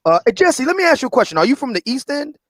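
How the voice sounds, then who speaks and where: A man's voice: very high voice, sing-song, fast, no echo, clean studio sound. A man in his fifties with a Philadelphia accent, chatting casually and asking leading questions as a panel host. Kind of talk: casual livestream chat.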